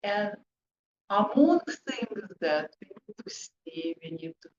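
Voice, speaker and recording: A woman speaking English as a second language, presenting to a group in short, deliberate, emphatic phrases with rhetorical questions. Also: medium pitch, sing-song, darkish tone. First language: not English. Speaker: presenter, hypnotherapist. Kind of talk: interactive talk to a group. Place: room with an audience, indoors. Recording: echoey, muffled room recording with background noise.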